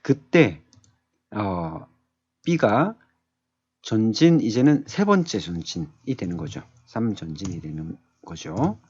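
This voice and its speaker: A man's voice: fairly low pitch, big pitch swings, slow, a little mellow, slightly rough, no echo. A man giving a step-by-step tutorial as an instructor, thinking aloud conversationally and often checking in with short tag questions. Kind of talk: screen tutorial, explaining step by step. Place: indoors, screen-capture voice-over. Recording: dull lo-fi close voice-over.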